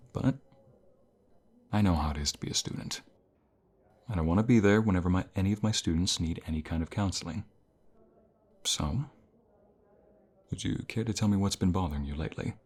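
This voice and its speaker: Man acting as a deep-voiced, gentle, caring professor. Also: husky. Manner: calm, reassuring, gentle. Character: caring male university professor comforting a burnt-out student. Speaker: voice actor. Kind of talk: ASMR roleplay.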